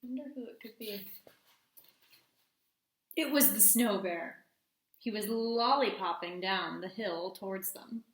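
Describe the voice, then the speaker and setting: A woman's voice: mid-range voice, takes it slowly, pauses often. Woman reading aloud in an echoey room. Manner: expressive, addresses children with questions. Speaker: teacher reading aloud to children. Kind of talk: storybook read-aloud with class discussion.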